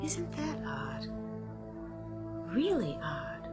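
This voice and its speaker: A woman's voice: sits high, huge pitch swings, very slow, over loud music, full character voice. An actress playing a bewildered, uneasy woman customer in a radio drama, speaking hesitantly and searching for her words. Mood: uneasy, confused.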